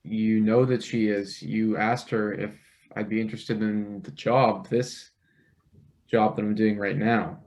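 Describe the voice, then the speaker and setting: Anxious young male intern, played by a man, slow and stammering, evasive under pressure, stage table read. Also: lowish voice, flat delivery, darkish tone, dull audio. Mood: cornered, tense. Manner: hesitant, halting, stammering.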